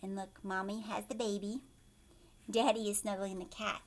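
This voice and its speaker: A woman teacher reading a storybook aloud to children, expressive and addressing young listeners directly. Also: medium pitch, moderate pace.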